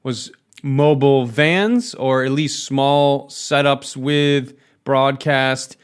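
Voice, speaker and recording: American guy podcast host, mid-pitched voice, chatty and kinda rambling questions. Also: slow, clear, resonant tone. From United States. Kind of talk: casual podcast interview.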